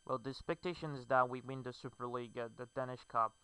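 Clear, bright-voiced Brazilian man. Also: mid-range voice, fairly even intonation, fast, low-quality recording. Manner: hesitant, fillers, non-native phrasing. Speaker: gamer. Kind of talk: game commentary.

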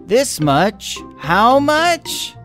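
A woman voicing an earnest little boy in a children's cartoon, with halting, simple childlike phrasing. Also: on the high side, big pitch swings, over music, exaggerated voice. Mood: unsure.

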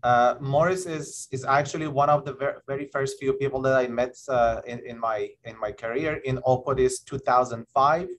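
Halting man introducing a keynote speaker. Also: mid-range voice, steady tone, fairly bright tone, a little hiss or hum. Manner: halting, many fillers and restarts. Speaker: session chair introducing the keynote. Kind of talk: formal introduction.

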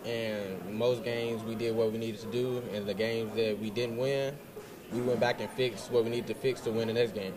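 A young man, a high school football player, answering in a steady, measured way. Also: crowd noise.

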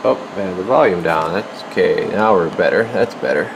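A man's voice: sits low, normal speed, gravelly. A man giving a casual hands-on demonstration at a workbench, informally muttering to himself as he works. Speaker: radio repair technician, video host. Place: indoor radio workshop at an electronics bench. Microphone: handheld camera, behind the lens. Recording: noisy room with steady equipment hum.

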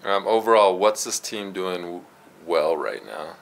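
A man with a very deep voice asking post-game questions in a loose, casual way. Faint room noise sits behind him. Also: unhurried, gravelly. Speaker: reporter.

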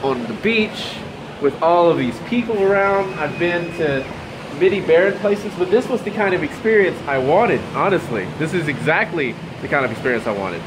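A man's voice: a little high, lively intonation, moderate pace, background noise. A young man in his twenties with a Southern US accent, vlogging to a handheld camera on vacation, chatty and amazed. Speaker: fishing vlogger. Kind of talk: casual vlog talk to camera. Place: condo balcony, beach and big store. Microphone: handheld camera at arm's length.

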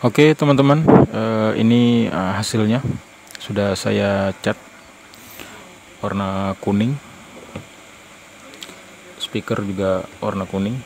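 A man giving a casual, halting, informal hands-on demonstration, pausing mid-phrase. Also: on the low side, steady tone, creaky, noisy background. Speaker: host of a DIY audio channel.

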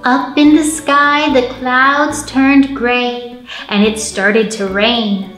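A woman in her thirties hosting a children's storytime, reading aloud in an animated, encouraging way. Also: sits high, extremely slow, echoey room, soft background music, poor audio. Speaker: children's librarian and storytime host. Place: indoor library storytime room.

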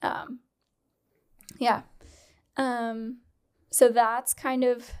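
A woman's voice: fairly high pitch, little pitch movement, extremely slow, darkish tone, clean tone. A woman in her twenties hosting a podcast, interviewing casually into a close studio microphone. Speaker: podcast host. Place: small indoor podcast studio. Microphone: close boom-arm studio microphone.

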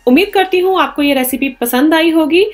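A woman in her thirties hosting a home cooking channel, explaining a recipe step by step in a clear instructional voice. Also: high voice, a little fast, faint music behind. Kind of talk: recipe tutorial narration. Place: home kitchen, indoors.

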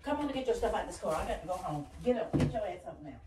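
A woman's voice: mid-range voice, expressive, racing, recorded from a distance, very noisy. A woman telling someone impatiently to turn the sound down and get up. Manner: impatient scolding orders. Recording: roomy, from across the room.

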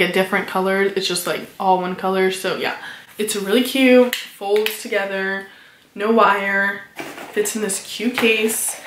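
A woman in her late teens talking casually in a haul vlog, filling her speech with "like" and sounding a little hoarse from a cold. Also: mid-range voice, a bit bright, echoey room. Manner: chatty, many "like" fillers, upbeat about purchases. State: recovering from a cold, somewhat hoarse. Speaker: vlogger.

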